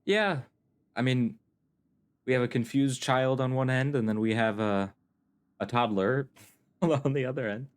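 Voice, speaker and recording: A man's voice: medium pitch, measured pace, long pauses. A man streaming a game, giving casual commentary in short, offhand reactions. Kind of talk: casual live gameplay commentary. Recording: game music clearly behind voice. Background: video game music.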